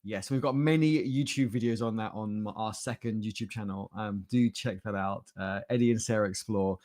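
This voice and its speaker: A man's voice: on the low side, normal speed, a little mellow. A man giving a conversational talk to a livestream audience in a jokey, rambling, informal way. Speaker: conference speaker.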